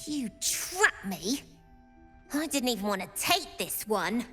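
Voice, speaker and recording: A cheeky, competitive game character, with a high, bright voice. She talks in a cocky, informal way, stretching words slowly over faint music. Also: very animated, full character voice. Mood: exasperated. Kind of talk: video game acting.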